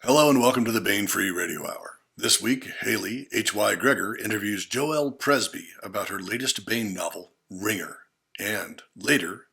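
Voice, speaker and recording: Male announcer, low gravelly voice, husky edge, formal read. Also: bright, forceful tone. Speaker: show announcer. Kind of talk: scripted show introduction.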